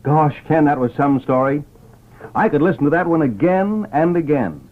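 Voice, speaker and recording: A man's voice: fairly high pitch, lively intonation, moderate pace. Old-time radio announcer, tinny male voice. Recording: tinny band-limited old radio recording.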